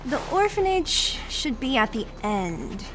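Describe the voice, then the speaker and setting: A brash young woman fighter from a video game, speaks in a high voice at an unhurried pace. She is blunt and cocky, with casual phrasing and very animated intonation. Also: slight background noise, heavily acted. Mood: brisk, casual.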